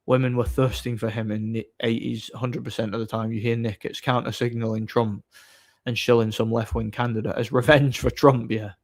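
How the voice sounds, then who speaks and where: A man hosting a livestream, chatting casually and off the cuff in a blunt, opinionated, somewhat halting way. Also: mid-range voice, flat delivery. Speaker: livestream host. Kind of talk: casual off-the-cuff chat, answering viewer comments.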